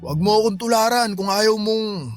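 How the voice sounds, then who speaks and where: A man's voice: high voice, normal speed, no room sound, faint music behind, lightly acted. A man playing a tipsy, lovelorn middle-aged uncle with a Filipino accent, reflective and emphatic, in a radio drama. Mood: lovelorn, resigned. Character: tipsy, lovelorn uncle abandoned by his partner. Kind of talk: Tagalog radio drama acting.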